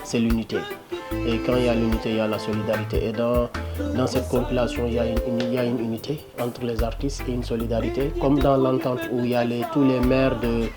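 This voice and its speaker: A man's voice: medium pitch, level tone, fast talker. A man with a Senegalese accent, a musician speaking spontaneously in an interview. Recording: music behind the voice.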